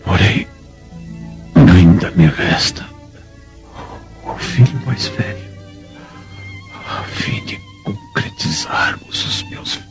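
A frail, halting old Roman patriarch. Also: male voice, extremely high pitch, huge pitch swings, heavily acted. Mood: bitter, weary. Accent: Brazilian. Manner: slow, halting delivery. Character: ailing, weary old Roman patriarch on his sickbed. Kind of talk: Brazilian radio drama acting. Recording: muffled audio with faint music.

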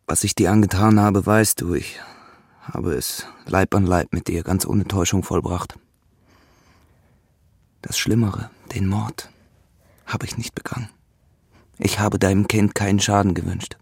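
An actor playing a grave, guilt-laden but resolute man in a radio play, gravelly and husky, speaking in measured, terse phrases. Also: low voice, fairly even intonation, darkish tone, dry recording, clean studio sound, full character voice. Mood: confessing, grave. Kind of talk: German radio play acting.

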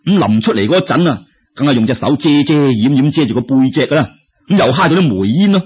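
A man's voice: medium pitch, lively intonation, fast, breathy edge, muffled recording. A man with a Cantonese accent narrating a traditional story in a colloquial way, speaking straight to his listeners. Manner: colloquial, addresses listeners directly.

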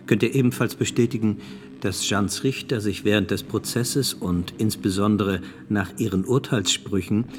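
A man's voice: lowish voice, moderate pace, over music, close to an everyday voice. An actor playing a sober male court examiner, putting formal, probing questions in a radio drama. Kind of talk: radio drama acting, interrogation.

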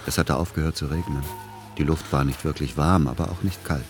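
Very deep, brooding grown son. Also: male voice, fairly even intonation, over music. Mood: melancholic, reflective. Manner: measured, melancholic, literary. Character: brooding, exasperated grown son, a literary aesthete narrating. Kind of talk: radio play narration and dialogue.

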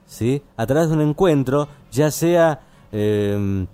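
A man with a Buenos Aires Argentine accent hosts a live late-night radio show. His tone is dark and relaxed at a middle pitch. He talks casually, rambling and feeling his way through explanations. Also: slow pace, clear, resonant tone, faint music behind. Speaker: radio host.

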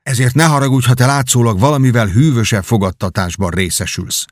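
A man reading aloud and voicing an excited young knight who returns home and talks without pause. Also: mid-range voice, dry recording, studio quality, close to an everyday voice. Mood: eager. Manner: eager, exclamatory, talkative. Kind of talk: audiobook reading.

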